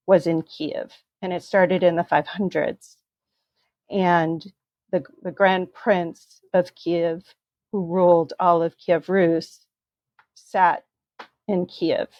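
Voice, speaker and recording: Measured low-voiced woman author. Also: darkish tone, no echo, muffled recording. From USA. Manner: measured, thoughtful, with frequent ums and you-knows. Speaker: author guest. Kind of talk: interview, explaining history.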